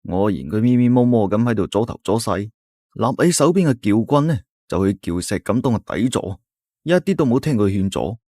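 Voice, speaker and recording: A man with a Cantonese accent tells a folk ghost story in the first person, in a low voice that rises and falls with a lot of drama. The sound is dry and close to the mic, with no room around it. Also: very animated, brisk, mellow, no echo.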